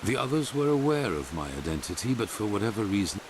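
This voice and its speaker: An actor playing a grave old grandmaster of a holy order in a fantasy game, speaking in a solemn, formal, measured storytelling manner. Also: male voice, lowish voice, big pitch swings, background noise. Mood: solemn, haunted. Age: older. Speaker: video game character. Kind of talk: dramatic fantasy game dialogue.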